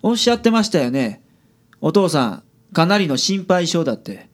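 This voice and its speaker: Gruff, shrewd male private detective, played by an actor, Kansai accent, lively pitch swings, radio drama. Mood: measured, kind. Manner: blunt with colleagues, measured and polite with clients.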